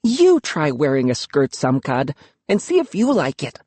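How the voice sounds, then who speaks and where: A narrator voicing a spirited young village girl who teases and insists, speaking in a raised, lively voice. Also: medium pitch, a little slow, no room sound. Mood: teasing. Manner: playful, insistent.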